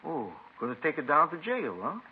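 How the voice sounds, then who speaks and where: A man playing a folksy, stubborn old father in a 1950s radio crime drama, gravelly and rambling. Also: on the high side, sing-song, fairly quick, a little hiss or hum. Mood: stubborn, resolute. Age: older. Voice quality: gravelly, husky. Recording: muffled vintage radio recording.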